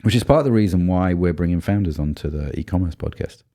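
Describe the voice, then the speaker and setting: A man co-hosting a podcast in casual chat, his deep baritone made rougher by a cold, thinking aloud with many ums and restarts. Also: fast, warm tone. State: voice low and rough from a cold spell. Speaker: podcast co-host.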